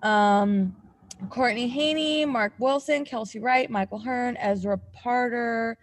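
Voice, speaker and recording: A woman with a fairly high voice hosts a podcast in a loose, chatty way. She is animated and quick to giggle. The sound is very dry, with hardly any room on it. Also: no echo. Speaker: podcast host. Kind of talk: casual chat.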